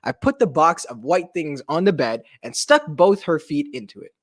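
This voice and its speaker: Animated, playful man reading a storybook. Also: a little high, normal speed, no room sound, studio quality. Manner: playful, expressive, breaks into chuckles. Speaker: imam reading a children's story.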